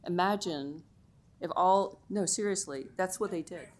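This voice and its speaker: A woman's voice: lowish voice, a little slow. A middle-aged woman giving an enthusiastic public comment at a city council meeting, chatty with lots of "um"s. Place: council chamber.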